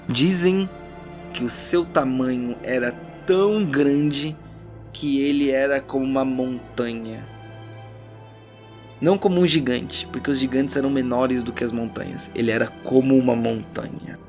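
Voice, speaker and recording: A man's voice: mid-range voice, unhurried. Brazilian man narrating dramatically over music. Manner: theatrical, suspenseful storytelling. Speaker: game master and narrator. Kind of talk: dramatic tabletop RPG narration with casual banter. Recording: loud background music under the voice.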